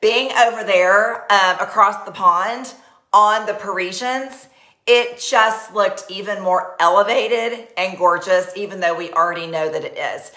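A woman's voice: medium pitch, unhurried, pushed and bright, roomy sound. Bubbly Texan woman hosting a live party. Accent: Texas. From Texas. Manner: bubbly, chatty, talks with her hands. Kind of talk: live-streamed sales party. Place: small decorated home office. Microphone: webcam at desk.

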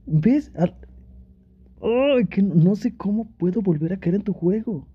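A man acts out a young boyfriend in an ASMR roleplay, affectionate but pouty. His voice sits fairly high and is husky, coaxing and teasing as if to a partner right beside him. The sound is a little dull. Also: expressive, unhurried, full character voice. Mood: exasperated. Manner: playful, pleading, sweet-talking with pet names. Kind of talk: Spanish ASMR boyfriend roleplay, intimate one-sided dialogue.

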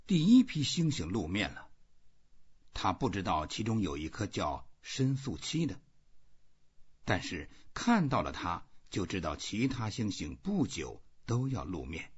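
A man narrates a literary story at a slow pace, in a notably breathy, husky voice. His delivery is measured yet expressive, with a pitch that moves freely. The recording sounds slightly dull. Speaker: audiobook reader.

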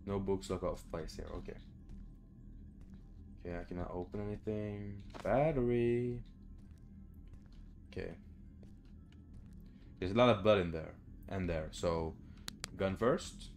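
A man's voice: sits low, measured pace, gravelly, long pauses, darkish tone, soft background music. A young man doing casual horror game commentary, muttering to himself in short phrases. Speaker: gamer, let's play host. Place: small room at home.

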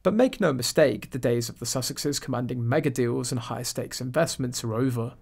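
A man at a middle pitch narrates a scripted gossip voice-over. His delivery is snide and mocking throughout, leaning into sarcastic asides. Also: normal speed, vocal fry, darkish tone, slightly rough.

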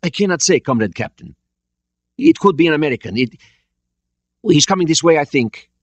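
A man's voice: sits high, lively intonation, a little fast, bright, forceful tone, dry recording, voice pushed up. A man doing a nervous Soviet sonar petty officer. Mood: anxious. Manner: hesitant, anxious reporting.

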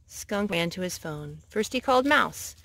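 A woman's voice: fairly low pitch, expressive, a little slow, fairly bright tone. A woman reading a children's picture book aloud at storytime. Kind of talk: read-aloud storytime narration.

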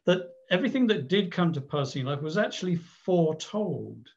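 A male podcast host asks his guest questions over a video call. His voice sits fairly high and comes through a little dull and muffled. He keeps the talk moving with short, encouraging affirmations. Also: lively intonation, brisk, vocal fry.

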